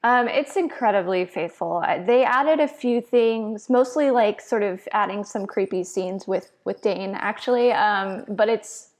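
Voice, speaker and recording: Woman reviewing casually, medium pitch. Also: measured pace, few pauses. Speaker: panel guest, entertainment critic.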